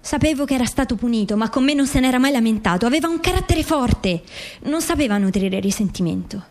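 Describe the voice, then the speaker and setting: An actress plays a bereaved mother in a radio drama, her voice on the high side. She testifies with indignant insistence, determined to get at the truth about her son. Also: expressive, quick pace, darkish tone, lightly acted. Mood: defensive. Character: grieving, resolute mother seeking truth about dead son.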